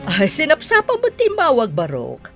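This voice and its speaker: A woman playing a doting, excitable older mother and expectant grandmother in an audio drama, emotive and motherly. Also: sits high, moderate pace, soft background music, full character voice. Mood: surprised, concerned.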